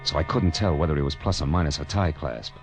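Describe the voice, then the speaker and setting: An actor playing a wry, hardboiled private detective in an old radio crime drama, with dry wisecracking delivery. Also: male voice, rumbling low, fast talker, faint music behind. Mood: wry, narrating. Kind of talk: hardboiled 1950s radio detective narration and dialogue.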